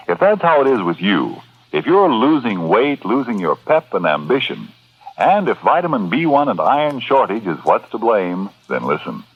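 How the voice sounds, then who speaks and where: Male vintage ad announcer: lowish, very lively pitch, emphatic selling style, dull old broadcast sound. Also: slightly rough. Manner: polished, emphatic salesmanship. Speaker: radio commercial announcer. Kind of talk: 1940s radio advertising pitch. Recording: muffled old radio recording.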